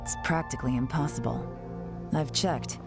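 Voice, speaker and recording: A sharp-witted female investigator in a video game. Her voice sits low and she sounds composed and knowing, with clear music behind her. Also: normal speed. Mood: confident, explaining. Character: shrewd, self-assured adult female investigator.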